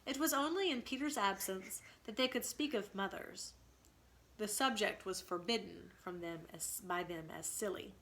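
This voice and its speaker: A woman reading a storybook aloud as a teacher, in an expressive, instructive way. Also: mid-range voice, moderate pace. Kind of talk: storybook read-aloud with explanations.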